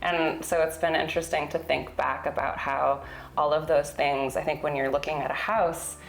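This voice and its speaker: A woman's voice: low voice, steady tone, moderate pace, creaky, a little hiss or hum. An American woman from California chatting casually as she tells stories in an interview, with informal wording. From Sonoma County, California, US. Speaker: interior designer being interviewed on a home tour. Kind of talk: casual conversational storytelling. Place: indoors in a home. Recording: roomy indoor echo.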